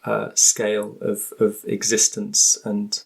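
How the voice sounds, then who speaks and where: A man's voice: on the low side, completely flat delivery, slow pace, gravelly. A British man speaking as a podcast guest, thoughtful and hesitant, often rephrasing as he explains. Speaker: interview guest, linguist and author. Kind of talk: conversational podcast interview.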